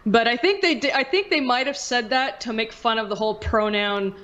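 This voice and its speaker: Girl hosting a podcast, kinda high voice, just chatting informally, swears a bit. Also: fairly quick, fairly bright tone, lo-fi sound. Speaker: podcast host. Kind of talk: casual opinionated chat about gaming.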